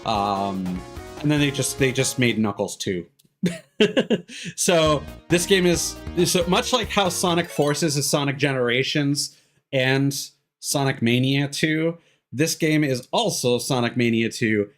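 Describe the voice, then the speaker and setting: A man with a mid-pitched voice talks through a video game as he streams it. His commentary is casual and rambling, hesitating and wandering into asides. Faint game music plays behind him. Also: animated, takes it slowly.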